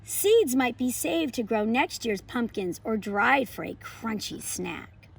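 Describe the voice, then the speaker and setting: An American woman hosting a homeschool lesson and children's read-aloud, with expressive, emphatic storybook phrasing. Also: fairly high pitch, slow pace, creaky, slightly rough. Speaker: homeschool educator and channel host.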